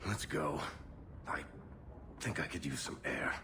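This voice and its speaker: An actor playing a wry, weary dwarf adventurer in a video game, talking conversationally. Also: male voice, extremely low pitch, unhurried, noisy background. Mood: resigned. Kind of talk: video game cutscene acting.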